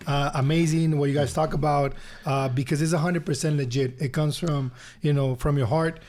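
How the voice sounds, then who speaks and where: A man talks through his story as a podcast guest, earnest and relaxed. His voice sits a little higher than most men's. He circles back and repeats phrases to press a point home. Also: moderate pace. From Honduras. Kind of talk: casual storytelling interview.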